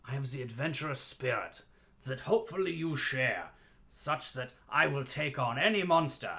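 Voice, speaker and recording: A man's voice: mid-range voice, pushed and bright. A man hosting a casual livestream as a playful bartender, chatting with theatrical showman patter. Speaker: livestream host mixing cocktails as "dungeon barkeep".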